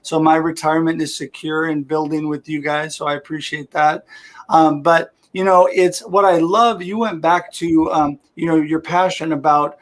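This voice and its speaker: A man's voice: a little high, level tone, moderate pace. A man hosting a live-streamed interview over a video call, upbeat and enthusiastic. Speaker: host.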